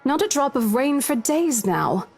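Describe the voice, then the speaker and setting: A woman's voice: sits high, big pitch swings, a little slow, creaky, darkish tone, husky, faint music behind, full character voice. A woman playing a polite, placating estate agent in a visual novel game, apologetic and professional in manner.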